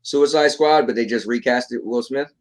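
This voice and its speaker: A man talks casually as part of a panel discussion. He rambles and circles back over his phrases, often tagging on small check-in questions. His pitch sits in an ordinary middle range for a man. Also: brisk. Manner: rambling, repeats himself, checks with "right?" and "you know". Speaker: talk show panelist. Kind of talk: casual chat about games and films.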